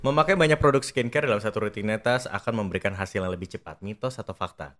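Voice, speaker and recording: A man's voice: on the low side, fast talker, fairly bright tone. A man hosting a casual interview, asking prompting questions and agreeably affirming the answers. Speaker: podcast host, interviewer.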